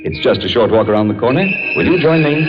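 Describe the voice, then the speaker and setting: An American man introduces radio theater like a showman. His delivery is polished and inviting, with very lively intonation at a medium pitch. The vintage recording is dull, with prominent music. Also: fast. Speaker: radio drama host and announcer. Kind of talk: vintage radio scripted narration.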